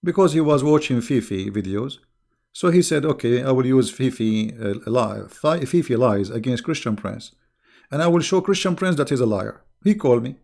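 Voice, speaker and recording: A man, a native Arabic speaker, talking casually to his viewers as an online religious debater, with an animated, mocking and scornful manner. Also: mid-range voice, moderate pace, creaky.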